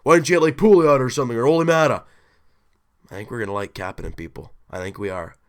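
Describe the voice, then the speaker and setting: A young man with a hoarse, roughened voice, excitedly recapping a hockey game in a rambling, self-interrupting way. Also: medium pitch, fast talker. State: hoarse, deep rough voice after a late night. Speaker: hockey fan video host.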